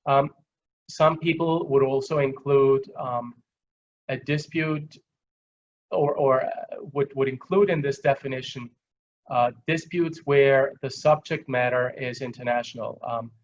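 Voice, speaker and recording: A dull, lo-fi online recording of a man with an Austrian accent. He lectures in a conversational, explanatory way at a mid pitch, halting often as he works through simple examples. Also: steady tone, a little hiss or hum. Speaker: guest lecturer. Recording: dull, lo-fi online call audio.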